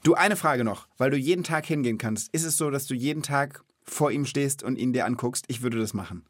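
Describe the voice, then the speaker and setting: A man's voice: medium pitch, a little fast, dry recording. A man hosting a children's knowledge podcast, chatting and explaining in a casual, enthusiastic, colloquial way.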